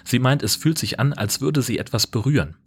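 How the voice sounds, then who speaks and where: A man's voice: mid-range voice, fairly quick, husky. A man, a podcast host reading a scripted recap of a film.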